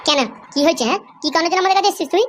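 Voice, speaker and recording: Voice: very high voice, rapid-fire, fairly bright tone. A performer voicing a hostile, vengeful woman in a cartoon comedy, taunting and confrontational, with faint ambient noise behind. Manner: confrontational, threatening. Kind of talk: amateur cartoon comedy dialogue.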